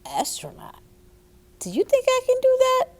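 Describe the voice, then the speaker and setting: A woman's voice: very high-pitched, huge pitch swings, moderate pace, pitched above the performer's own voice, heavily acted. A woman voicing a dreamy, eager little girl in a storybook, earnest and full of wonder. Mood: wondering, doubtful.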